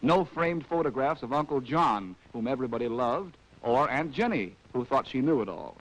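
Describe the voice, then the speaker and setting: A man's voice: mid-range voice, expressive, normal speed, low-quality recording. An American man narrating a scripted 1940s training film in a wry, arch, theatrical way, on a vintage film soundtrack.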